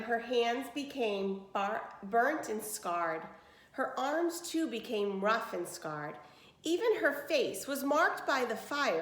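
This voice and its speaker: A woman storyteller reads a picture book with a highly animated, fairly high voice. She breaks off for plain explanatory asides, and the room sounds echoey. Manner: explains words plainly to listeners. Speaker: read-aloud storyteller. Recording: roomy, echoey indoor sound.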